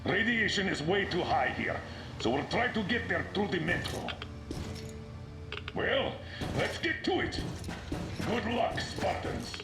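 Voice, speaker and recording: Gravelly, animated military colonel. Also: male voice, sits high, unhurried, pauses often, full character voice. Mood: brisk, commanding. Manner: commanding, clipped. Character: gruff, resolute male colonel leading a squad of rangers. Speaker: military commander. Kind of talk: dramatic video game acting. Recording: music behind the voice.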